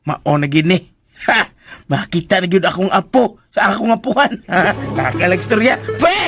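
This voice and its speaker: An actor playing a jittery, lovestruck comic household helper in a radio drama, stammering and exclaiming. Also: male voice, high-pitched, lively intonation, moderate pace, music in the background. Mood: giddy.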